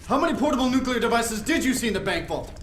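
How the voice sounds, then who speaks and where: A man's voice: extremely high pitch, lively intonation, fast, a bit bright, a little hiss or hum. An actor playing a hostile, sarcastic male interrogator in a game cutscene who talks aggressively and swears. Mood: needling.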